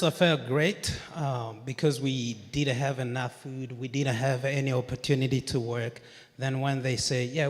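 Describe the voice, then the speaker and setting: A man of middle pitch speaks earnestly and haltingly, with a cadence. The long reverb of a large hall surrounds his handheld microphone. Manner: earnest, halting, many fillers. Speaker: new citizen, client advocate. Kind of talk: personal testimony.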